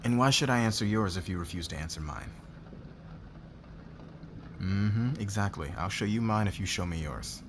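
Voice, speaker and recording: A demanding male boss played by a man in an intimate ASMR roleplay, speaking in a low register over noticeable background noise. He is commanding and possessive, flirting smugly while keeping his guard up. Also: lively intonation, moderate pace, long pauses. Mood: prying, indignant. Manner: commanding, smug, intimate, swearing.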